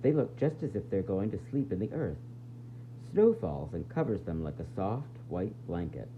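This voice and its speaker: A man reading a picture book aloud as a preschool teacher, expressive and explaining things directly to young children. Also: sits low, normal speed. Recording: tinny phone-like audio with faint noise.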